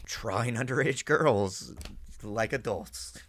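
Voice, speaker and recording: A man giving casual, animated reaction commentary, thinking aloud and prone to swearing. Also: medium pitch, slow, dry recording.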